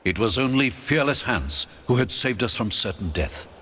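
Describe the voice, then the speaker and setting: A male narrator tells an adventure tale in theatrical audiobook style. His mid-pitched voice moves animatedly up and down, with faint noise behind it. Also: expressive, moderate pace, bright, forceful tone. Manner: theatrical, storytelling. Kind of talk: dramatic scripted audiobook narration.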